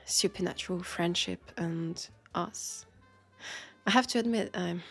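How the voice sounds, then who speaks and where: A woman with a French accent voicing a wry, centuries-old vampire detective in an intimate audio roleplay, husky and creaky, talking in an unhurried, conversational way. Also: mid-range voice, full character voice. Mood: sincere, hopeful. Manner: unhurried, conversational, with pauses and sighs.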